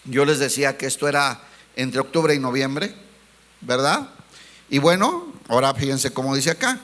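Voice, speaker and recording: A man preaching a sermon as a pastor. He asks the congregation rhetorical questions, calls them brothers, and slows down to stress key words. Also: medium pitch, expressive, fairly bright tone.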